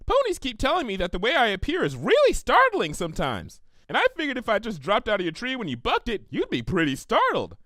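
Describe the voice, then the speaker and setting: A man voicing a bubbly, silly young female cartoon pony, chirpy and excitable, in a story reading. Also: very high-pitched, fairly quick, hardly any pauses, no echo, voice pushed up, exaggerated voice. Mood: cheerful, eager.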